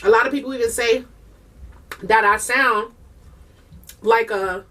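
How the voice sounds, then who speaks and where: Fast-talking woman with a Southern Puerto Rican lilt. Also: fairly high pitch, bright, forceful tone, far from the mic. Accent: Puerto Rican-tinged Southern US. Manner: breaks into bursts of singing. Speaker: vlogger and mother. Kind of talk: casual mukbang chat while eating.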